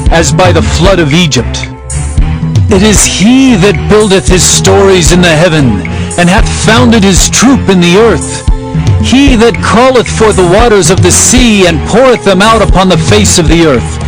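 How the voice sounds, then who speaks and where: A man's voice: high-pitched, low-quality recording. A man narrating a dramatized King James Bible reading in a solemn, declamatory manner. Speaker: scripture narrator. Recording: music under the voice. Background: music.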